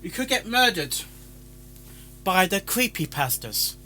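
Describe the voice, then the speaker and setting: A man hosting a reaction, giving casual, excitable commentary with playful repeated interjections. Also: sits high, measured pace, faint music behind. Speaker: reaction host. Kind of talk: excited casual commentary over a fan fiction reading.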